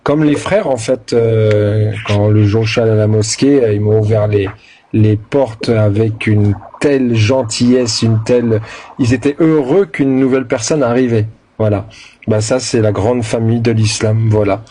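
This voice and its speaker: Calm, hesitant man from Brittany. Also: on the low side, normal speed, a little hiss or hum. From Brittany, France. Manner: calm, hesitant with many fillers. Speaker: live stream host and convert. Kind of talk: casual discussion.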